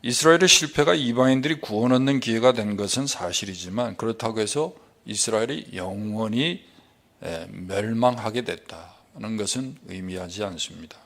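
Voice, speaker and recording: A man pastor preaching a sermon, talking in quick runs with frequent fillers between phrases. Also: lowish voice, fairly bright tone.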